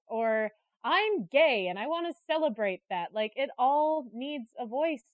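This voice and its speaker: A woman speaking as a guest in a casual interview, expressive and earnest, on slightly dull audio. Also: fairly high pitch, no echo. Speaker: interview guest, creative director.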